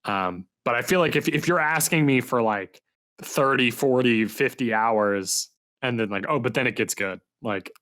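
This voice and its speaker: Guy hosting a podcast, just chatting casually, medium pitched voice, pretty informal. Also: normal speed, fairly bright tone. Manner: informal, loose, conversational. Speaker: podcast host and game reviewer. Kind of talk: casual podcast interview chat.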